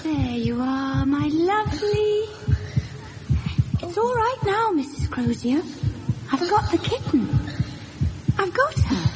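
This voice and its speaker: Ghost little girl, super high slow singsong voice, huge reverb, old radio horror. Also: big pitch swings, exaggerated voice. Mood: eerily soothing. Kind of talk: vintage radio horror drama acting. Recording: long hall reverb, eerie music.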